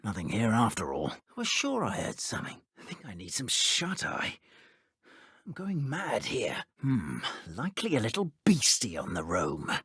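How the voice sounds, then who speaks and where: A woman with a British accent voicing a cruel, smug dark witch poacher in a fantasy video game, taunting and condescending in a theatrical way. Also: rumbling low, wildly swooping pitch, moderate pace, slightly rough, no echo, clean studio sound, heavily acted. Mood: bored, muttering. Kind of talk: villain combat and idle barks for a fantasy game.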